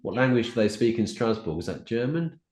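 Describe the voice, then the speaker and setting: A man's voice: mid-range voice, very animated, brisk, a little mellow. A man from the UK hosting an interview, asking friendly questions and repeating himself as he asks. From United Kingdom. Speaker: podcast host. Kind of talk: casual interview conversation. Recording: roomy echo.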